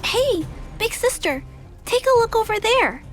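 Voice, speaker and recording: High-pitched, gentle little girl. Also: very animated, a little slow, soft background music, full character voice. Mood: cautious. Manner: polite, soft-hearted, careful. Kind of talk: video game voice acting.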